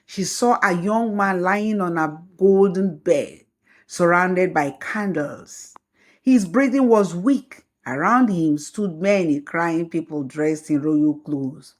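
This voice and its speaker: A woman telling a folktale as scripted storybook narration, in a slow, measured way. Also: lowish voice.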